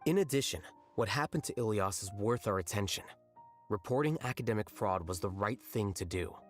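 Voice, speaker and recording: Actor as a low, deadpan, aloof young scholar. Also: male voice, lightly acted. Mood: calm, detached. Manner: deadpan, measured, matter-of-fact, articulate. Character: aloof, blunt young male scholar, coolly rational. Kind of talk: video game voice acting. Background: music.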